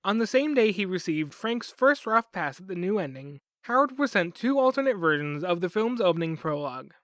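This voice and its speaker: A man's voice: high-pitched, very animated, brisk, fairly bright tone, no room sound. A man reading scripted documentary narration as a podcast host.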